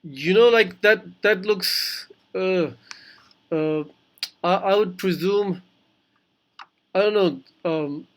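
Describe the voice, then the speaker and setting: Man, high voice, unhurried pace, hesitant casual answers, online call participant. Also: fairly bright tone, a little hiss or hum. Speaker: audience participant answering questions. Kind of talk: casual chat, hesitant guessing.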